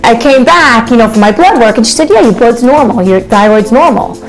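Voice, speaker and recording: Woman telling her story over soft music. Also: a little high, animated, brisk, low-quality recording. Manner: conversational, many fillers like "you know" and "uh". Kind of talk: personal account in an interview.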